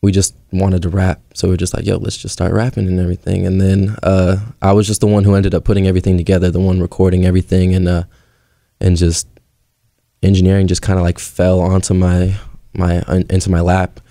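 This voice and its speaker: A man in his twenties from Arizona with an American accent, chatting casually as an interview guest in a calm, laid-back, slangy way. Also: low voice, fairly quick, gravelly, dry recording, studio quality. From Arizona, USA. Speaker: interview guest, audio engineer. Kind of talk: casual chat.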